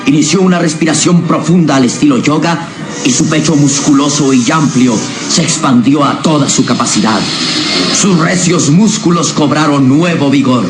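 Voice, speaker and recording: A man's voice: fairly high pitch, moderate pace. A man narrating a scripted radio serial in a theatrical, suspenseful way. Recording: radio serial with music behind.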